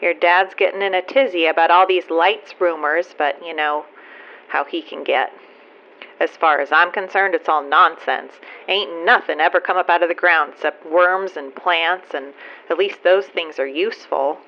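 A woman's voice: fairly low pitch, normal speed, lightly acted. A woman playing a caring, folksy middle-aged small-town mother in an audio drama. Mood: fond, dismissive. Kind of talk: naturalistic audio drama acting, phone message. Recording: muffled lo-fi phone message.

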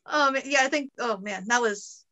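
A pregnant woman in her late twenties chatting casually over a video call from home, laughing often and breaking into quick asides. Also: on the high side, pushed and bright. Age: late 20s. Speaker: guest costumer. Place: home room, indoors.

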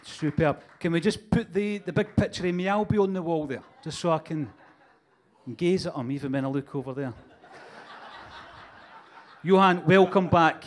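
A man's voice: fairly high pitch, normal speed, long pauses, slight background noise. A man hosting a live stage interview, joking with the crowd into a handheld mic. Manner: jokey, upbeat crowd-working. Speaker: host. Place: stage before a live audience.

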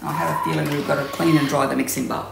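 A woman's voice: low-pitched, steady tone, fast talker, vocal fry, heavy background noise. A woman in her sixties with an Australian accent, chatting through a home cooking demonstration with many ums and restarts, recorded in her kitchen on a camera mic a few metres away. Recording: echoey kitchen room.

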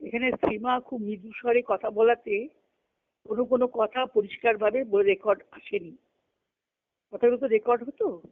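A woman's voice: a little high, steady tone, normal speed, long pauses. A woman reading a spiritual text aloud and explaining it, repeating and rephrasing as she goes, on a phone conference call. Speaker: spiritual discussion leader. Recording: muffled phone-line conference call audio.

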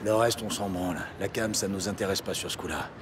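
Low, matter-of-fact detective played by a man. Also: fairly quick, noisy background, close to an everyday voice. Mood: businesslike. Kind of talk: French audio drama acting. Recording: music and urban ambience behind.

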